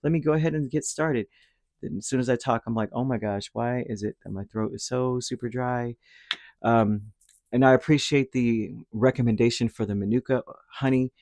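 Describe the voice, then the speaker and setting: A man giving a casual spoken tarot reading, rambling and reassuring, his throat dry and a little hoarse after a cold. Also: mid-range voice, steady tone, normal speed, a little mellow, no room sound. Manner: rambling, reassuring, with many fillers and restarts. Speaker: tarot reader.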